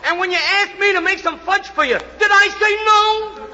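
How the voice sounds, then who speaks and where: In a muffled, reverberant old radio comedy, a needy, motherly army sergeant. His voice is very high and piercing, and he wheedles plaintively. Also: moderate pace, sharp tone, full character voice. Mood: pleading. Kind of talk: 1940s radio comedy acting.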